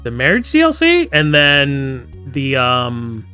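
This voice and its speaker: A man's voice: mid-range voice, huge pitch swings, slow pace, pushed and bright, clean tone, faint music behind. A man hosting a gaming live stream, playfully reading story text aloud and breaking off into theatrical, jokey asides.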